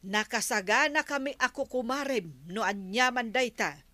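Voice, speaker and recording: A woman playing a chatty, inquisitive neighbour in an Ilocano comedy drama, expressive and full of exclamations. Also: on the high side, moderate pace, no echo. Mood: concerned, eager.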